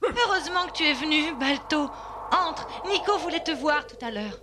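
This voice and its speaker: Une comédienne qui joue une jeune amie attentionnée et décidée de dessin animé, au ton sincère et insistant. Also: female voice, high voice, animated, moderate pace, noisy background. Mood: earnest. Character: caring, determined young female friend, Judy. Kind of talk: animated film dub.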